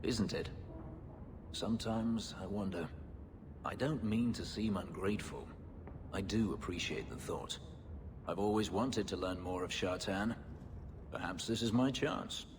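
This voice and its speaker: Low-voiced brooding elf warrior. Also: male voice, noisy background. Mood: wistful, hesitant. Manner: terse, grave, measured. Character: brooding escaped elf slave, male, bitter, guarded warrior. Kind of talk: video game voice acting. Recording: music behind.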